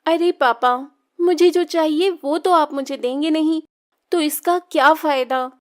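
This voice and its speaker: A woman's voice: high voice, a little slow, voice pushed up. A woman playing a spirited, stubborn young daughter in a children's cartoon story, whiny, coaxing and dramatic. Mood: sulky.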